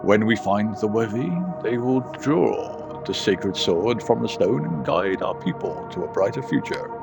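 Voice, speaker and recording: A man voicing a solemn, pious ship's navigator in a formal, preachy, measured way for a game. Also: fairly low pitch, expressive, vocal fry, music in the background.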